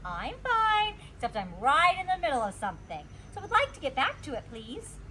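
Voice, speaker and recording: A woman voicing an excitable, dramatic little girl in a children's storybook, with emphatic childlike delivery. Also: high-pitched, moderate pace, a little hiss or hum, voice pushed up, exaggerated voice. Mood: falsely breezy. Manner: emphatic, childlike exclamations and grumbles. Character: excitable dramatic little girl narrating her own mishaps. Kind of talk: children's storybook read-aloud.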